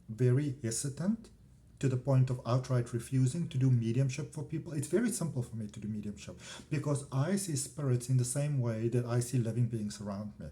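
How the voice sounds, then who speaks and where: Mellow-voiced middle-aged South African man. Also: mid-range voice. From South Africa, countryside. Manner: discursive, trailing off into asides and repeated fillers. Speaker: spiritual vlogger and energy healer. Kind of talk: casual rambling personal talk to camera.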